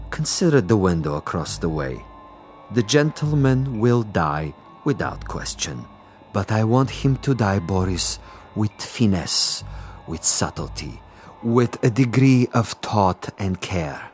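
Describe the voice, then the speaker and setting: An actor playing a suave, cruel Soviet commissar in a radio drama, theatrical and deliberate, with silky irony. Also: male voice, on the low side, sing-song, a little mellow, faint music behind. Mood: patient, pompous. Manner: theatrical, deliberate, silkily ironic.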